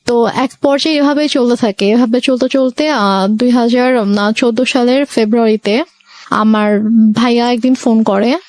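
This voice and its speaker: A woman's voice: a little high, normal speed, a little mellow. A young Bangladeshi woman recounting her personal life story as an interview guest, mixing English words into her speech.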